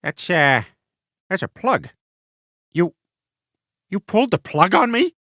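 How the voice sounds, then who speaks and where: A loud, goofy dad in a cartoon, with a nasal, bright voice that bounces around in pitch. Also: male voice, sing-song, slow pace, no room sound, full character voice. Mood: indignant.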